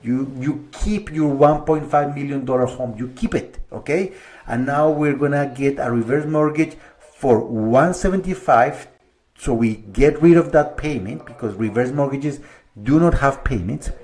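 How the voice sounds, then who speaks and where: A middle-aged man from Colombia, a mortgage broker talking viewers through figures step by step in a relaxed, conversational way. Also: medium pitch, a little slow. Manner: conversational, walks through numbers step by step, slight restarts. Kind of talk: explanatory video monologue to viewers.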